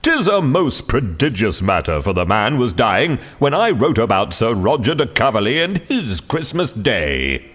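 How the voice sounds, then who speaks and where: A man voicing a burly, proud gentleman of the Queen Anne era who speaks in pompous, archaic phrasing. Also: mid-range voice, huge pitch swings, a little slow, few pauses, full character voice. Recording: long hall reverb.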